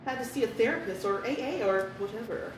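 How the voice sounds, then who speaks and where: A woman's voice: on the high side, fairly quick, distant mic, lightly acted. A woman playing a brash, gossipy office coworker in a comic radio-style Christmas party sketch. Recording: echoey, noisy room, off mic.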